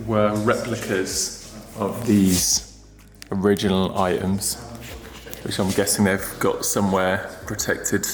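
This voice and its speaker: A man's voice: deep voice, level tone, slow, low-quality recording. Laid-back young man, music behind. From England. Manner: laid-back, curious remarks. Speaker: travel vlogger. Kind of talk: casual vlog commentary. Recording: music playing clearly behind the voice.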